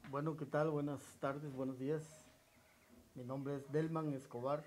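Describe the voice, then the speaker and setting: Male interviewee, forties, southern Mexican accent, fairly high voice, hesitant and unhurried, recorded with audible ambient noise. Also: flat delivery, a little mellow. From Chiapas, southern Mexico. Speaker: interview guest. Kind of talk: reminiscing in a relaxed interview. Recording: noticeable background ambient noise.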